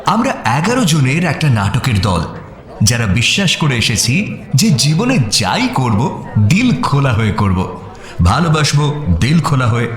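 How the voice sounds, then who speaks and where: A passionate young playwright in an audio story, making a stage speech. His delivery is declamatory and hugely varied in pitch, in a clearly roomy echo over music. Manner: theatrical, declamatory. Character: passionate young playwright addressing a theatre audience.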